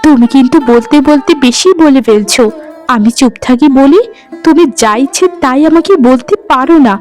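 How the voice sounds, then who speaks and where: A woman voicing a gentle, courteous young village bride in cartoon story dialogue, speaking earnestly. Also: high-pitched, normal speed, soft background music, lightly acted. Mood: reproachful.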